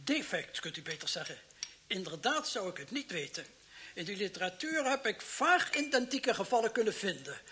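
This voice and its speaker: An actor playing a muddled, pedantic toxicology professor in a vintage radio play, halting and repeating himself. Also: male voice, sits high, very animated, sharp tone, heavily acted. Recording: noisy old radio drama recording.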